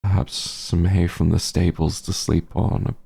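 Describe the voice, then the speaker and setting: A man playing a remorseful werewolf hunter in an intimate fantasy audio roleplay, speaking gently and haltingly with a husky, emotional voice. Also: low-pitched, little pitch movement, exaggerated voice. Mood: worried, determined. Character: werewolf hunter, tender and remorseful, reunited with lost friend. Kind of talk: roleplay audio drama, intimate monologue to listener.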